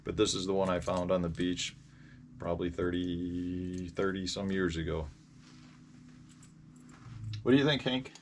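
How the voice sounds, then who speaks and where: A man with an American accent and a fairly low voice talks casually to his viewers as a vlog host. He rambles a little and pauses as he goes, unscripted. Clear ambient noise sits behind his voice. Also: steady tone. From Indiana, United States. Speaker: host of a fishing channel. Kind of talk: casual vlog storytelling about fishing gear.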